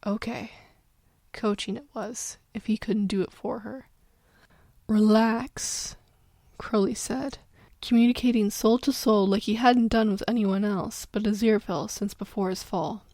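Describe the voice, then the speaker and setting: A woman's voice: mid-range voice, fairly even intonation, moderate pace, a little mellow, no echo. A woman reading a story as dramatic narration, getting over a cold. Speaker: fan fiction narrator.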